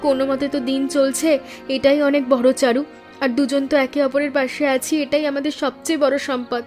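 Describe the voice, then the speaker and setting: Cartoon big sister, female actor: high-pitched, quick delivery, caring, sensible, reassuring. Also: little pitch movement, a little mellow, soft background music, lightly acted. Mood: resigned, comforting. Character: patient, caring elder sister, poor flower seller. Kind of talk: Bengali cartoon moral-story dialogue.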